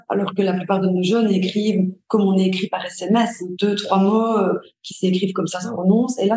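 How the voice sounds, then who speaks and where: A woman's voice: medium pitch, darkish tone, roomy sound, rough recording. A woman giving conversational testimony as a care worker in an interview.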